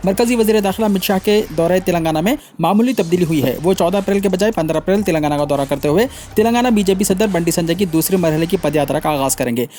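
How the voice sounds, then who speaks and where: A man's voice: sits high, quick pace, hardly any pauses, a little mellow, a little hiss or hum. A man reading scripted news in a formal manner, delivering headlines and stories as a news reader.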